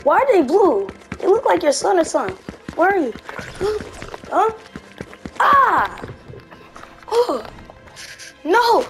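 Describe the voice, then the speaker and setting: A woman voicing an eager young boy fighter in a fan game roleplay, with drawn-out words, grunts and yelps. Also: very high voice, sing-song, exaggerated voice. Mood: excited, startled. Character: eager, excitable young boy fighter, anime hero's son. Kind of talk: fan roleplay acting over video game. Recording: loud music over voice.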